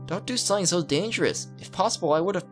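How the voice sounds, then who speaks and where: A man's voice: high voice, animated, fast, music in the background, voice pushed up. A man voicing an arrogant, angry girl who scolds out of concern, in a visual novel. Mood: arrogant scolding. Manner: scolding, haughty.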